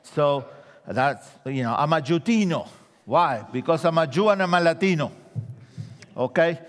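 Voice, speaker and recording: Animated preacher with an Argentine accent. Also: male voice, normal speed, long reverb tail. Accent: Argentine Spanish. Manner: animated storyteller, drops voice low for punchlines. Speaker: guest preacher. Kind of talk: sermon with humorous stories.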